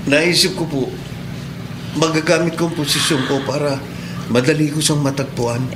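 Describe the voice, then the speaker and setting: A man's voice: on the high side, fairly even intonation, moderate pace, close to an everyday voice. A man playing a respectful, earnest young police cadet in a Philippine radio drama, speaking politely with a Filipino accent. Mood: earnest, heartfelt. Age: young adult. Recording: echoey room, clear background noise.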